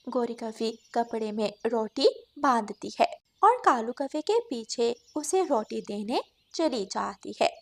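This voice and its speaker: A woman's voice: a little high, lively intonation, unhurried, few pauses. A woman reading scripted narration for a children's cartoon story.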